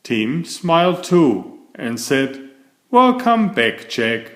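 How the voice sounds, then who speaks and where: A man narrates a children's picture book at an unhurried, measured pace. His pitch rises and falls in lively swings as he reads expressively for young listeners. The room adds a noticeable echo around his voice. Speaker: storyteller, children's author and channel host. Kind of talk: read-aloud children's bedtime story narration.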